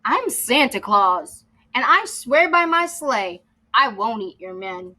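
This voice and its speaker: Storybook giant old man, kindly and reassuring, read by a woman; slow, bright, higher-pitched delivery. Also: sing-song, exaggerated voice.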